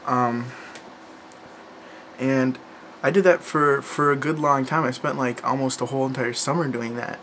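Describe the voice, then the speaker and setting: A man's voice: medium pitch, fairly even intonation, moderate pace, pauses often, a bit bright, slight background noise. A young man chatting casually to camera about learning languages, rambling, with lots of fillers and restarts. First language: English.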